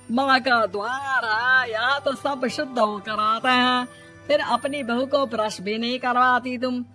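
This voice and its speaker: A woman voicing a sharp-tongued, middle-aged Indian mother-in-law in a cartoon moral story, scolding and sarcastic. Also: fairly high pitch. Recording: music under the voice.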